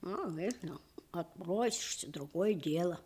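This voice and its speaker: A woman's voice: on the low side, lively intonation, measured pace, darkish tone, slight background noise. An actress playing a roguish, streetwise village boy in a radio play, talking in an offhand, folksy, boyish way. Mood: skeptical.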